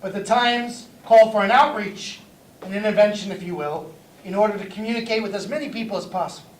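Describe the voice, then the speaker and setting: A man's voice: sits high, fairly quick, lightly acted. An actor playing an earnest rabbi addressing his congregation in a staged play reading. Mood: solemn, urgent. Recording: echoey, noisy room from far off.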